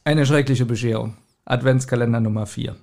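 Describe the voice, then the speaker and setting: A man's voice: medium pitch, steady tone, brisk, creaky, a little mellow. A man, talking casually as a podcast co-host. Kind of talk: casual chat.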